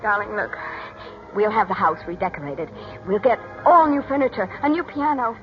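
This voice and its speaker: A woman's voice: medium pitch, moderate pace, natural voice. An actress with an American accent playing a weary, pleading mother devoted to her estranged daughter in a 1940s radio drama. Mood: urgent, pleading. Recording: muffled 1940s radio recording, music.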